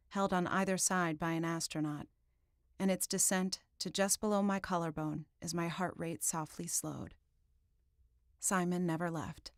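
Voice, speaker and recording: A woman reading first-person fiction as an audiobook narrator, in a dramatic, deliberate literary style. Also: on the low side, no echo, clean studio sound.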